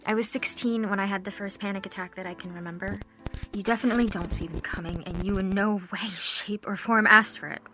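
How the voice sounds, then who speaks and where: An actress playing a sardonic young supervillain who talks to reporters with cocky sarcasm. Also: female voice, medium pitch, steady tone, brisk, bright, forceful tone, faint music behind, natural voice. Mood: confessional. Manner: cocky, sarcastic, then confessional.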